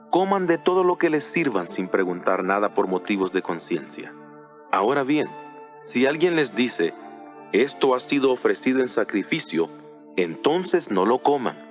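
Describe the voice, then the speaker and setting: A man's voice: mid-range voice, close to an everyday voice. A man playing the Apostle Paul as an earnest, solemn teacher exhorting his congregation in a dramatized audio Bible, with music behind him. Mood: earnest instruction. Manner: solemn, exhortative, deliberate phrasing.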